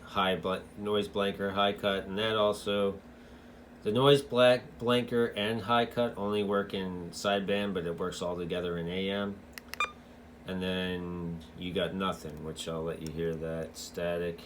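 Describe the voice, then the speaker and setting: A man's voice: low-pitched, level tone, a little slow, a little hiss or hum. A man giving a casual, loosely explained product walkthrough as a radio hobbyist reviewer, in an informal, rambling way.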